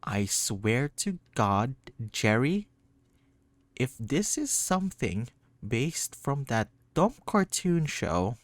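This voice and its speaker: A man's voice: mid-range voice, sing-song, slow, no room sound, pitched above the performer's own voice. A man voicing an exasperated, suspicious library worker in a visual novel game.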